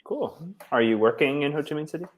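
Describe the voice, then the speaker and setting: A man's voice: a little high, fairly quick. Man, english tutor, easygoing, encouraging, lots of mm-hmms, video call. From United States. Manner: relaxed, encouraging, many short affirmations. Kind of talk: casual tutoring chat.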